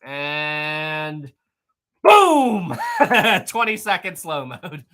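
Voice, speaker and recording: Rambling, animated male live-streamer. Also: a little high, extremely slow. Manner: rambling, animated, laughs often, many fillers and restarts. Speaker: history YouTuber hosting a live stream. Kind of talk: casual live-stream chat reading super chats.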